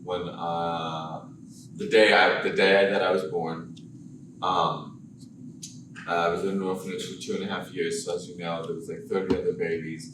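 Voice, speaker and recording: A man in his twenties, born in Bulgaria, talks bluntly and sarcastically in a casual podcast chat, swearing freely. His voice sits very low. He sounds far from the mic in a roomy space, with clear background noise. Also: level tone, normal speed. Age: 20s. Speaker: guest actor and filmmaker.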